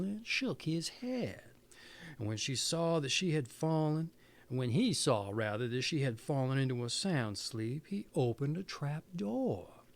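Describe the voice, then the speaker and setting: A man reading a fairy tale aloud expressively as a storyteller. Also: medium pitch.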